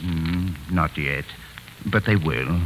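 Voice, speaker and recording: An actor playing a sinister, theatrical wax museum owner and fanatical connoisseur of crime, pompous and insinuating, in a 1940s radio thriller. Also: male voice, low voice, slow pace, a bit bright. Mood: quietly threatening. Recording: noisy 1940s radio recording.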